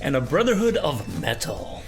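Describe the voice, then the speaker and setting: A music reaction co-host talks in a casual, slangy way, swearing and joking along. The voice sits at a medium pitch, with music faintly behind it. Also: huge pitch swings, moderate pace, creaky, low-quality recording.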